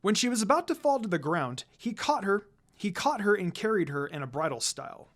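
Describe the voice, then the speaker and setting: A narrator reading a scripted fan fiction story aloud in an expressive storytelling style. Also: mid-range voice, a little fast. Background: background music.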